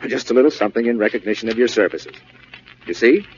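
A man's voice: medium pitch, fast, close to an everyday voice. An actor playing a kindly, earnest and slightly awkward businessman in 1940s radio comedy style, polite and encouraging. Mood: awkward, friendly. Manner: encouraging, polite, somewhat stammering. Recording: muffled old radio broadcast with hiss.

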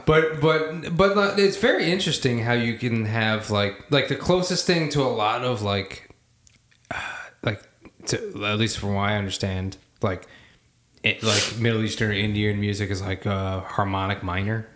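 A man's voice: lowish voice, normal speed, fairly bright tone. Laughing rambling man, echoey room. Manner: rambling, self-deprecating, laughs often. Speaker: podcast co-host, band member. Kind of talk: casual chat.

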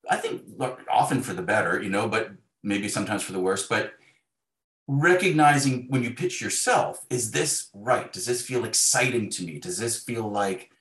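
A man talking reflectively and haltingly as a novelist being interviewed, often voicing imagined remarks. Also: on the low side, a bit bright. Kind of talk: thoughtful conversational interview. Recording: roomy, echoing sound.

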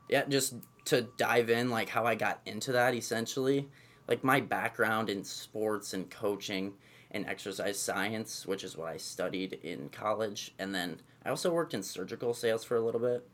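A man in his twenties, a movement coach talking casually as an interview guest, relaxed and rambling with many fillers. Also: on the low side. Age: 20s.

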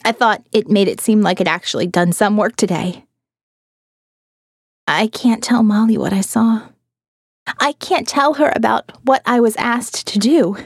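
A woman reading a first-person diary as an audio novel narrator, in an expressive, reflective and wry manner, close on the mic. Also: medium pitch, measured pace, slightly rough, dry recording. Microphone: close studio mic, dry.

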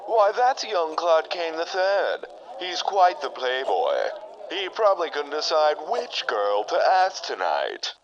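Society gossip gent, played by a man. Highish, unhurried, animated, muffled. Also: heavily acted. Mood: knowing. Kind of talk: comic superhero radio play. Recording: dull lo-fi audio.

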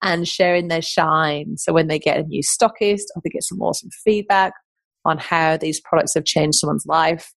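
A woman's voice: low-pitched, steady tone, normal speed, gravelly, no room sound. A middle-aged woman with an English accent, hosting a podcast in a chatty, enthusiastic monologue. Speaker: podcast host.

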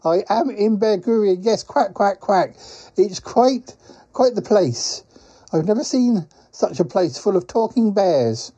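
A man's voice: high voice, expressive, unhurried, dark tone, husky, lo-fi sound, voice pushed up, exaggerated voice. A man voicing a polite, flustered talking duck in a comic podcast skit, hesitant and rambling, with quacks as a verbal tic. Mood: polite, wondering. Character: flustered, polite talking duck courier, male, pie-stuffed traveller.

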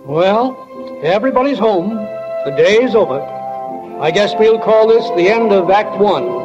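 A man's voice: high voice, lively intonation, a little slow. A man playing a folksy, plainspoken small-town narrator on 1940s radio. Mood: easygoing. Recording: dull 1940 radio recording with music.

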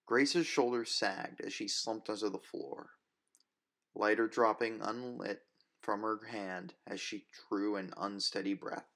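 A man's voice: on the low side, unhurried, a bit bright. A man reading a story as narrator, in dramatic scripted first-person narration.

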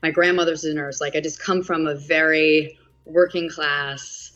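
A chatty woman in her thirties with a heavy New York accent and a lowish voice. She talks freely, with plenty of fillers and quick laughs. The audio sounds a bit dull and muffled. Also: steady tone, fairly bright tone. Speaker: actress guest. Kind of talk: casual interview chat.

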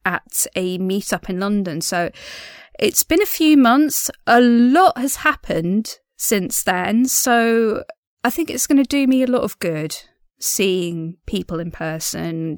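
A woman talks through a casual audio diary in a medium-pitched voice. She is chatty and self-effacing, feeling her way through her thoughts a little hesitantly. The sound is dry and close, with no room around it. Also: clear, resonant tone, dry recording. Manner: giggles often while talking. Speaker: podcast diarist and audio drama creator.

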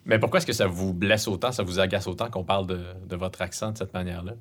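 A man interviewing a guest, asking questions in a measured way with many hesitations. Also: low voice, fairly even intonation.

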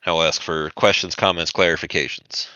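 A man narrating a tabletop roleplaying session as game master, in a halting, informal storytelling manner. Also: low-pitched, fairly even intonation, bright, forceful tone, dry recording, lo-fi sound.

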